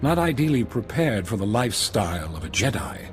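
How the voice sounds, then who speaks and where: Formal, expressive master in reverberant hall. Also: male voice, fairly low pitch. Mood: reserved, skeptical. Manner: formal, measured. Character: stern, reserved male master of a mystic knightly order. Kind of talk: video game character acting. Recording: long hall reverb, music behind.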